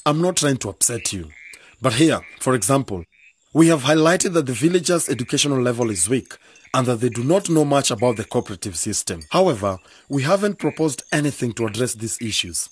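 A man playing a critical, sardonic young village activist with a husky voice in a radio drama. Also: mid-range voice, lively intonation, a little fast. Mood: critical, insistent.

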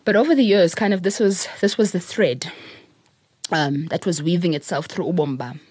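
A middle-aged South African woman, a public speaker, telling her story in an interview in an earnest, reflective way, with soft laughs. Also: lowish voice, moderate pace, husky, no room sound. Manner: earnest, repeats words, laughs softly while telling stories.

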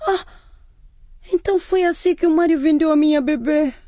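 A woman's voice: high voice, moderate pace, warm tone, no echo, clean studio sound, natural voice. An actress playing a distraught mother in a radio drama, wailing and pleading desperately.

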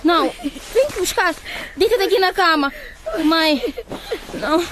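A woman's voice: very high voice, expressive, measured pace, noisy background. A woman playing a frantic, devoted young daughter in a radio drama, pleading and urgent. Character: frantic, devoted teenage daughter of an exhausted mother.

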